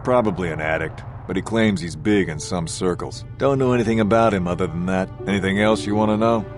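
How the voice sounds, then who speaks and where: Man playing a low-voiced, gossipy hotel keeper. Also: a little fast, lightly acted. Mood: matter-of-fact, gossipy. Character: prejudiced, gossipy hotel keeper claiming respectability. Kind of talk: video game dialogue. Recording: music behind the voice.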